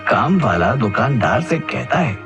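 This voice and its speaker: A man's voice: medium pitch, very animated, a little slow, creaky, highs cut off. A man narrating a scripted cartoon moral story. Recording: faint background music under the voice.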